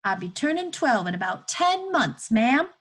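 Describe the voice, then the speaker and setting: A woman's voice: on the high side, sing-song, normal speed, fairly bright tone, pitched above the performer's own voice. A woman voicing a frightened, stubborn eleven-year-old boy in a storybook, speaking an old-time rural dialect with earnest insistence. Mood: nervous, polite.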